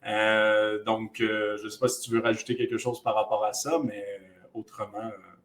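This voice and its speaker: A man's voice: lowish voice, flat delivery, moderate pace, a bit bright. A man moderating a webinar, introducing the speaker and going over housekeeping in a casual way, with many fillers, over a video call.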